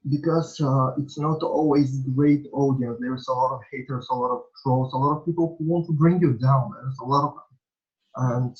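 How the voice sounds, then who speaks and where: A young man from Bulgaria, a native Bulgarian speaker with a Bulgarian accent, answering interview questions in a halting way, full of fillers and restarts. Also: mid-range voice. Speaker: interviewee, small vlogger. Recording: dull lo-fi recording.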